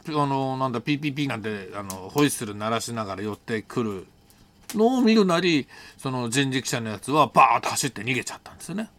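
A man casually telling a personal travel story, colloquial and animated, acting out bits of dialogue. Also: mid-range voice. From Japan. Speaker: solo audio storyteller, host.

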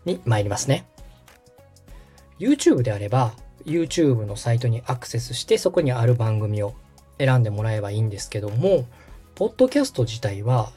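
Podcast tutorial host: mellow, slightly husky, explanatory, wide-moving intonation over background music. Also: mid-range voice. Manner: explanatory, checks the listener's understanding. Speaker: host and radio director giving a tutorial.

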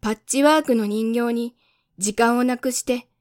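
Woman voicing a curious young boy prince, high-pitched. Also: normal speed, no echo, clean studio sound, natural voice. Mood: earnest. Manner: gentle, inquisitive questioning. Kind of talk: storybook reading.

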